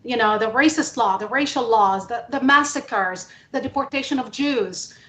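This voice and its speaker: A woman from the Middle East speaking emphatically and deliberately as a journalist on a panel about politics. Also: on the high side, fairly bright tone. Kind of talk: panel testimony, impassioned political talk. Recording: echoey room.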